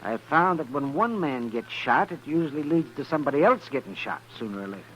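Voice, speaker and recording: An actor playing a crotchety, blunt and sardonic American frontier doctor in a 1950s radio western. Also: male voice, fairly high pitch, lively intonation, brisk, a bit bright. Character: feisty, wry, outspoken frontier town doctor, man. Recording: noisy old radio drama recording.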